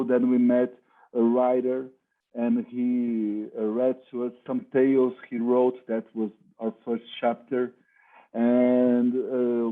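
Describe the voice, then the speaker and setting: He is a film director with a Brazilian Portuguese accent, speaking very slowly in halting, filler-laden English. His voice sits at an ordinary male pitch and comes through a thin, phone-like video-call line. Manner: halting, many pauses and fillers, searching for words. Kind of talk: festival Q&A answers.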